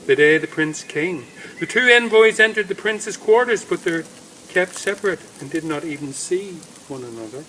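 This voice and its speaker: A man reading a story aloud outdoors in a dramatic, deliberate manner, with emphatic phrasing and pauses. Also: high-pitched, expressive, bright, forceful tone, poor audio. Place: outdoors in woodland. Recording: faint outdoor background noise. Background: faint woodland ambience.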